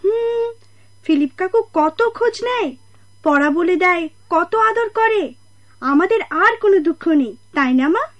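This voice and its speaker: Casting: female performer as innocent, concerned small child for radio drama, extremely high voice. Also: lively intonation, a little slow, fairly bright tone, full character voice. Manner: innocent, earnest questioning. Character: caring, curious young child worried about mother.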